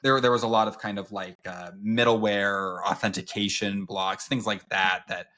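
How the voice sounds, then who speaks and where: A man's voice: steady tone, normal speed, bright, forceful tone. A man co-hosting a book podcast, talking casually with lots of fillers and acting out quotes. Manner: filler-heavy, lots of "like", acts out quotes in a high voice. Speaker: podcast co-host. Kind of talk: casual chat about a book.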